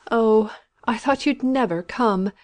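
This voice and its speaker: A woman reading a storybook, voicing a frantic, terrified dying woman of about sixty who pleads in rough country speech. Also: on the high side, takes it slowly. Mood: terrified pleading.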